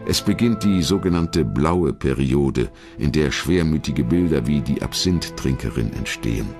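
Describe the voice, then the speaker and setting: Very deep-voiced male documentary narrator. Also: lively intonation, moderate pace, music in the background. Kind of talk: scripted narration of an audio biography.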